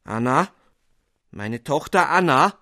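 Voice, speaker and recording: A man's voice: a little high, expressive, takes it slowly, pushed and bright, dry recording, clean studio sound, voice pushed up, exaggerated voice. A man voicing a whining, solemn old bearded sorcerer of a father in a fairy-tale reading.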